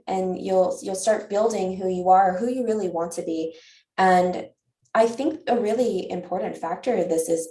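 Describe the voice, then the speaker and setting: Mellow woman host, distant echoey mic. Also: mid-range voice, vocal fry. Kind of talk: interview questions and chat. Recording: echoey room, far from the mic.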